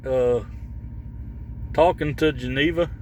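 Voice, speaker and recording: A man giving casual, unscripted talk about gear for his vlog, halting and rambling as he searches for words. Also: medium pitch, a little mellow, a little hiss or hum. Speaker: vlogger showing his CB radio.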